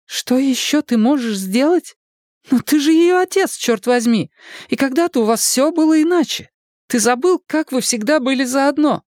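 A woman's voice: high-pitched, animated, moderate pace, a little mellow, no room sound, studio quality, voice pushed up. A woman voicing an anguished wife and mother in a dramatised audiobook, tender but insistent as she emotionally implores. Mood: reproachful, urging.

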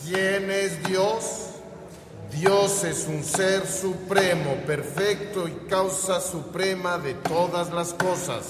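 High-voiced preaching priest, echoing church. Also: male voice. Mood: devout, instructing. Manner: declamatory, measured, devout. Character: fervent, patient male priest teaching catechism to crowds. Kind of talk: radio drama, catechism call and response. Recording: echoey church hall, crowd responding.

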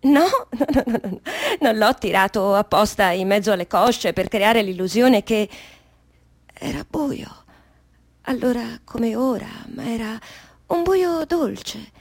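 An actress playing a trapped, stubborn, sardonic unborn baby with an adult mind in a theatrical radio monologue, repeating and correcting herself. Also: female voice, on the high side, expressive, slow pace, creaky, no room sound, heavily acted. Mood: dreamy, delighted. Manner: self-correcting, repetitive, musing then emphatic.

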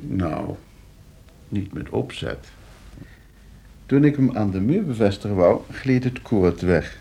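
An actor playing a coolly scheming, suave and condescending nephew in a Dutch radio play. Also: male voice, on the low side, animated, slow, creaky, warm tone, husky, heavily acted. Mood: smooth, amused. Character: scheming, debt-ridden nephew coolly arranging his uncle's murder.

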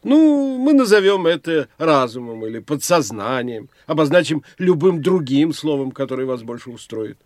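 An animated, indulgent older doctor. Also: male voice, fairly high pitch, moderate pace, hardly any pauses, no echo, clean studio sound. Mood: patient, lecturing. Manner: pondering, indulgent, chuckling, lecturing. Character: self-assured eccentric doctor of the soul, older man. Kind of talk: theatrical audio drama dialogue.

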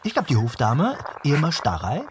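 A woman's voice: low voice, wildly swooping pitch, normal speed, noisy background. An actress playing a laconic, casual female radio co-presenter in a radio play.

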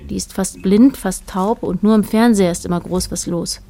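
A woman's voice: mid-range voice, normal speed, gravelly, warm tone, close to an everyday voice. A woman narrating a literary radio play as a detached, observant storyteller, in short plain sentences.

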